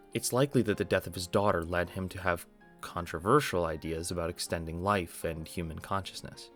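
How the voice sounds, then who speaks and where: Low-voiced young man narrating over music. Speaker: video essay host explaining an analog horror series. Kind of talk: scripted narration.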